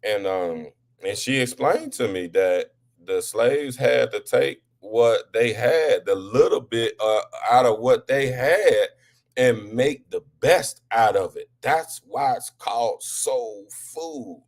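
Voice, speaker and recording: A man hosting a live talk show, talking casually to viewers and often repeating words and restarting, rising louder when impassioned. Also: mid-range voice, animated, slow.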